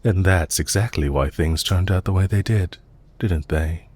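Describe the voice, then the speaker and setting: A man playing a courteous, calm villain leader in an audio roleplay, speaking in a polite, measured, formal and softly commanding way. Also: low voice. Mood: calm, mildly chiding. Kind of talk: scripted roleplay audio drama.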